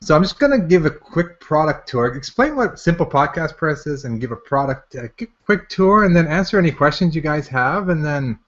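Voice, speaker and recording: A man's voice: on the high side, sing-song, moderate pace, few pauses, a bit bright. A man hosting a live product launch webinar in a casual, unscripted way, informally repeating his phrases as he goes. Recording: dull, lo-fi webinar audio.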